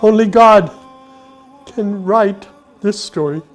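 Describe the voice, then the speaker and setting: A middle-aged man from the United States, earnestly telling his own life story at an unhurried pace, with pauses and restarts. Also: sits high. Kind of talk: personal testimony, reflective storytelling. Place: Saudi Arabia. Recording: soft music behind the voice.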